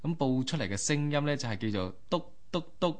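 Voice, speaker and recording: A man's voice: a little high, lively intonation, fairly quick. A man with a Hong Kong Cantonese accent co-hosting a conversational history talk in a colloquial, exclamatory way. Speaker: radio co-host.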